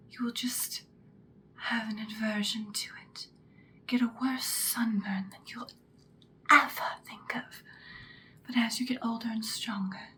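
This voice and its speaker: A woman playing an ancient, powerful female vampire in an ASMR roleplay, whispering breathily in an intimate, calmly menacing, seductive way. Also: a little high, slight background noise. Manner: slow, deliberate, intimate. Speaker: ASMR performer.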